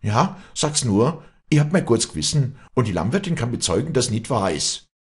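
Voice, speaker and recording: A man's voice: lowish voice, sing-song, moderate pace, a little mellow, slightly rough, voice pushed up, exaggerated voice. A man voicing a poor, honest young maidservant, agitated and indignant, pleading in Alpine Bavarian dialect in a storybook reading. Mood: shocked, defiant.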